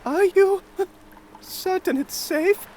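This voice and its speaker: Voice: extremely high pitch, takes it slowly. An actor voicing an anxious, doubtful mage of a reptile folk in a fantasy audio drama, hesitant and questioning. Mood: nervous, doubtful. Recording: loud music bed, night insect sounds.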